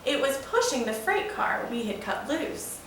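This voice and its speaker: A woman's voice: high-pitched, big pitch swings, unhurried, fairly bright tone, full character voice. A woman voicing a proud old male steam locomotive spinning a folksy, boastful yarn for a children's storybook. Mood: proud storytelling. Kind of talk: children's storybook read-aloud. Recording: roomy, echoing, distant mic with faint noise.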